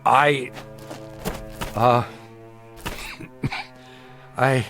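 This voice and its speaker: A man's voice: medium pitch, steady tone, drawn-out words, creaky, fairly bright tone, faint music behind, exaggerated voice. An actor playing a suspicious, sarcastic male traveller in a television drama, arguing with theatrical emphasis. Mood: insistent. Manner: argumentative, sardonic, theatrical emphasis. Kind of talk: dramatic television-style acting.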